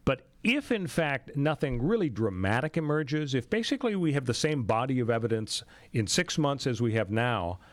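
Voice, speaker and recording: A man's voice: mid-range voice, sing-song, normal speed, no echo. A middle-aged American man hosting a radio talk show, commenting on news and history in a conversational way. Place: radio studio. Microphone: close studio microphone.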